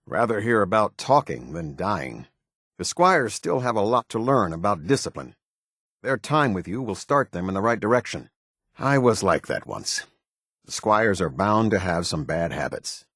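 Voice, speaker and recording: An actor playing a stern, exacting senior military officer in a game, speaking to subordinates in a clipped, formal, authoritative way. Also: male voice, slightly rough, no echo, studio quality, heavily acted. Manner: clipped, formal, authoritative, often dropping low at phrase ends. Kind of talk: scripted game dialogue with subordinates.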